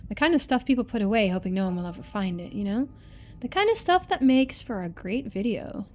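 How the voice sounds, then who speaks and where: A woman's voice: medium pitch, expressive, fairly quick, a little mellow, a little hiss or hum, lightly acted. A woman voicing a chatty, earnest young video maker from a story game, speaking casually and expressively. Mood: excited.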